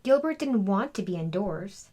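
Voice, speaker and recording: A woman's voice: mid-range voice, expressive, moderate pace, dark tone. A woman reading a children's storybook aloud as scripted narration. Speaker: children's book reader.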